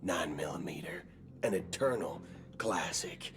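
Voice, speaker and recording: An actor playing a swaggering, wry cowboy gunslinger in a video game, talking in folksy slang. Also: male voice, low-pitched, lively intonation. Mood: sly. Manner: folksy slang, slow drawling delivery. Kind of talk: video game cutscene acting. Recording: game audio with background music.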